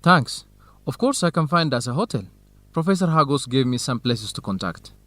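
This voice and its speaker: An actor with an Ethiopian accent playing a polite, modest research partner in an educational radio drama, speaking earnestly. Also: male voice, medium pitch, expressive, normal speed, fairly bright tone, no room sound. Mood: modest, apologetic. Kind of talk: radio drama acting, measured educational dialogue.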